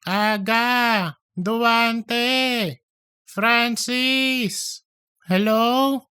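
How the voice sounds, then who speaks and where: A woman's voice: a little high, fairly even intonation, drawn-out words, bright, forceful tone, clear, resonant tone, exaggerated voice. A woman voicing male plant workers caught in an emergency, in audiobook character dialogue. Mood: worried.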